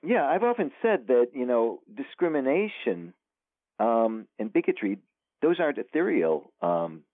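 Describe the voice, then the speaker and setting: A male author as interview guest, talking in a rambling, animated way with many restarts over a phone line. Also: medium pitch, measured pace, no echo. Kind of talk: casual interview conversation. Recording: tinny phone line, dry.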